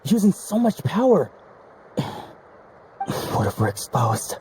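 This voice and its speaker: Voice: medium pitch, very animated, takes it slowly, background noise. An actor voicing a stern, secretive conspirator who scolds anxiously, in a video game. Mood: stern, alarmed. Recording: loud music under dialogue.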